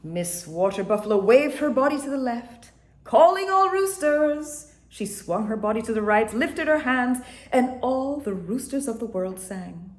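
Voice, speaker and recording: A woman reading a children's picture book aloud with an expressive storytelling manner. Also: on the high side. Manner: expressive, slow, storytelling delivery. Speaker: storybook reader. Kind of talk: children's read-aloud narration. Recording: echoey room.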